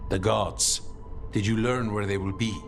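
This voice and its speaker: An actor playing a grim, determined assassin commander in a video game, terse and serious in manner. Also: male voice, fairly low pitch, steady tone, measured pace, faint music behind. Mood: grim determination.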